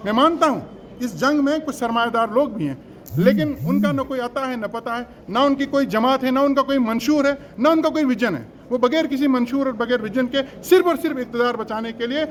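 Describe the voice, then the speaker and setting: A man's voice: very high-pitched, a little fast, a little hiss or hum. A man delivering a campaign speech as an election candidate, earnest and emphatic, in a formal register with rhetorical repetition. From Jammu and Kashmir, India.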